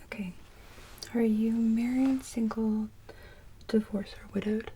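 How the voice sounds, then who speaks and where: A woman whispering gently in an ASMR medical roleplay as a receptionist, asking orderly questions in short phrases. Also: a little high, steady tone, slow pace, slight background noise.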